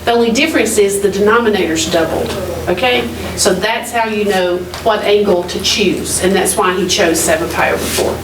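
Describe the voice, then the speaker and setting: A woman's voice: medium pitch, normal speed, far from the mic. Echoey, noisy classroom recording of woman teacher. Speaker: math teacher. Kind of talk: classroom teaching, questioning students. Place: classroom, heard from across the room. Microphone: distant camera microphone.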